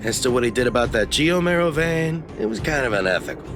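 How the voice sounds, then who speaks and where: Wildly animated shifty merchant. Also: male voice, mid-range voice, fast talker, over music. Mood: defensive, insistent. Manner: theatrical, wheedling, haggling. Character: shifty, blustering merchant, proud and easily cowed. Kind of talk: video game voice acting.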